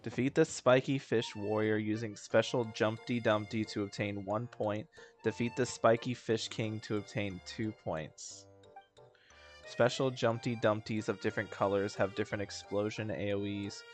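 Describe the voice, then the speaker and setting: A man's voice: lowish voice, steady tone, moderate pace, faint music behind. A man casually commenting over a video game he is playing, bored and sarcastic, dismissive of what is on screen. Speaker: gaming streamer. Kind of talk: casual let's-play commentary.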